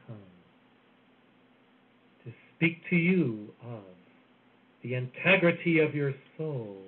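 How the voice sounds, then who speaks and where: A man delivering a channeled spiritual message in a soft, solemn, measured way with long pauses. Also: medium pitch. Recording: phone-like audio with faint hiss.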